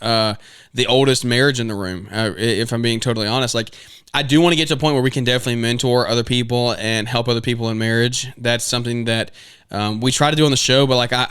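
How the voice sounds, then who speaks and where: Man in his twenties, podcast host, earnest rambling advice, restarts and fillers, medium pitch, close dry mic. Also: a bit bright, clean tone, dry recording. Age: 20s. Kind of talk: casual advice monologue.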